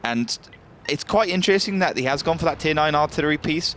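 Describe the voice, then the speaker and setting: A man giving calm, analytical esports match commentary, often pausing on fillers. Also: fairly high pitch, slight background noise. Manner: measured, analytical, frequent fillers.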